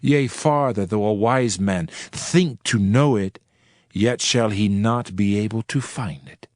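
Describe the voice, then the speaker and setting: A man playing a solemn ancient preacher-sage in a dramatized King James scripture reading, measured and reflective, with archaic diction. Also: fairly low pitch, no echo, studio quality. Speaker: scripture reader in a dramatized audio Bible.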